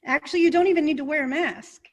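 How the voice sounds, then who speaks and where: Casting: actress as bossy, orderly woman in farce, high voice, firm and patient with muddled colleagues. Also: fast talker, lo-fi sound. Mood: exasperated, soothing. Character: organized, bossy but patient woman board member. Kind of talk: farcical comedy acting.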